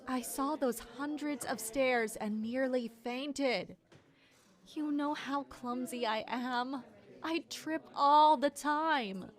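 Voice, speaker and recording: A woman playing a polite, observant royal maid in an audio drama, speaking articulately. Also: high voice. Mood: excited, chatty. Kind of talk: audio drama acting with inner-monologue narration.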